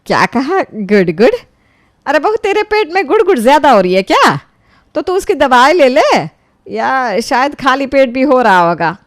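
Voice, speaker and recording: A woman's voice: sits high, sing-song, unhurried. A woman voicing a naive older mother-in-law in a comic cartoon, exclamatory and easily puzzled. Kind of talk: Hindi comic cartoon acting.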